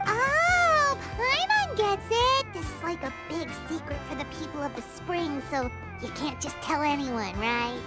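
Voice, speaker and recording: A woman voicing a tiny, excitable, naive fairy companion in a video game, with a squeaky, childlike voice and exclamatory delivery. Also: measured pace, hardly any pauses. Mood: knowing, chirpy. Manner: exclaims, refers to self in third person. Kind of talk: animated video game voice acting. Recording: game music behind the voice. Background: game background music.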